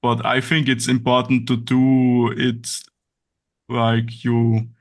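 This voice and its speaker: Austrian guy talking english slowly, stops and restarts a lot, not his first language. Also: mid-range voice, completely flat delivery. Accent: Austrian German. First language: German. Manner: halting English, searching for words, frequent restarts. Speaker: guest. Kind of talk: casual interview chat.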